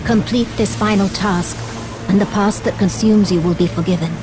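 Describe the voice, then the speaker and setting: An actress playing a stately Greek goddess in a video game, solemn, measured and authoritative. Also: female voice, medium pitch, steady tone, natural voice. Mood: solemn, commanding. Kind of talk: dramatic video game acting. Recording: orchestral game music behind.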